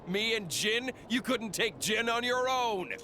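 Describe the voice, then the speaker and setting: A man's voice: high voice, animated, a little slow, slight background noise, exaggerated voice. An actor playing a cruel, mocking, theatrical male villain in a video game cutscene. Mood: gloating. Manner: taunting, sneering, theatrical. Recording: loud background music.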